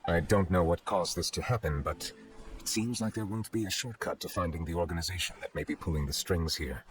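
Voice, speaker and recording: A man playing a solemn, reserved chief justice, a dragon in human form, speaking formally and deliberately in a video game. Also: sits low, a little hiss or hum, close to an everyday voice. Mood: calm, serious. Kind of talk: video game dialogue acting.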